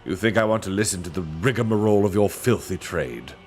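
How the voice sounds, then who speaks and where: A man's voice: sits low, lively intonation, a little fast, slight background noise. A man voicing a stern, commanding bearded nobleman.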